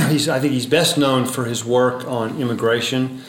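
A man's voice: medium pitch, a little slow. A man hosting a faith podcast, a professor who asks questions in a friendly, conversational way with some hesitations. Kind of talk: podcast interview. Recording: roomy sound with faint ambient noise.

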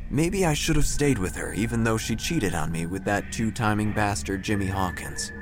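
An actor playing a melodramatic, self-important obituary writer who narrates an audio drama with theatrical pauses. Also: male voice, lowish voice, expressive, music in the background, natural voice. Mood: wistful, regretful. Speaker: narrator and protagonist. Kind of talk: first-person audio drama narration, gothic parody.

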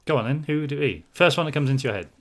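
A man's voice: on the high side, lively intonation, racing. A man co-hosting a casual chat, enthusiastic and teasing as he answers viewer questions.

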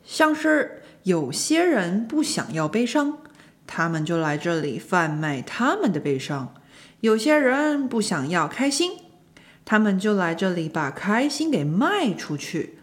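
A woman's voice: mid-range voice, very animated, a little slow. Lady doing a chatty storybook dwarf, knows it all, teasing, voice bounces around a lot. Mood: explaining, persuasive. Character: chatty, knowing little dwarf guide, gently teasing. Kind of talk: children's audio storybook acting.

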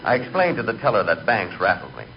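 A man's voice: on the low side, wildly swooping pitch, quick pace. A man acting a timid, neurotic and comically vain storyteller in 1940s radio comedy. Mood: deadpan, confiding. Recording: muffled, hissy 1940s radio recording.

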